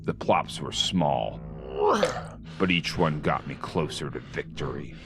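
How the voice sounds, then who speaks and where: Very deep, grim vigilante narrator. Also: male voice, takes it slowly, exaggerated voice. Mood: deadpan, solemn. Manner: deadpan, menacing, terse. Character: grim, vengeful male vigilante narrator, hard-boiled and sardonic. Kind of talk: hard-boiled noir game cutscene narration. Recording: music under the voice.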